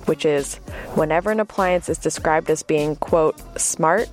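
A woman hosting a podcast, reading a clear scripted introduction and then asking interview questions. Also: deep voice, a little slow, soft background music. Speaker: podcast host.

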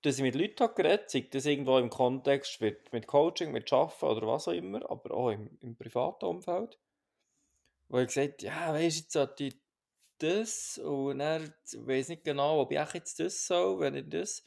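A man with a Swiss German accent, co-hosting a podcast in a calm, reflective chat with many restarts. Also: a little high, normal speed, creaky. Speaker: podcast co-host.

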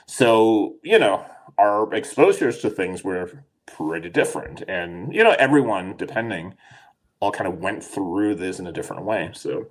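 A man's voice: on the low side, very animated, normal speed, rough recording. A man in his forties from Denmark, co-hosting a podcast in casual chat, rambling with many fillers and restarts. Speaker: podcast co-host.

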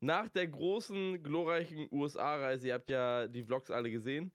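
A man's voice: fairly high pitch, normal speed, no room sound, clean studio sound. A man chatting casually and telling slangy anecdotes as a podcast guest. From northern Germany. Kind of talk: casual chat.

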